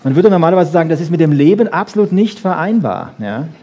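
A man lecturing freely on popular science to an audience, emphatic, with rhetorical questions and frequent checking tags, in a slightly husky voice. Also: fairly high pitch, sing-song, fast talker, warm tone.

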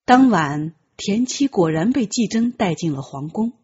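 A woman narrating a novel as an audiobook, with measured, expressive dramatic storytelling. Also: mid-range voice, slightly rough, highs cut off.

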